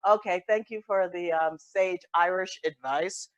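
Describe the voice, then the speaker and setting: A woman playing a frazzled, sarcastic mother in an improvised comedy sketch, speaking in a halting, conversational way. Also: fairly low pitch, dull audio, close to an everyday voice. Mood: impatient.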